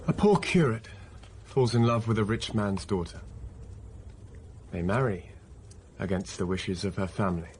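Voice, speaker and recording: A man's voice: fairly low pitch, moderate pace, a little mellow, slight background noise, natural voice. An actor playing a stern, self-denying young clergyman in a period radio drama, speaking formally and measuredly. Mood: grave.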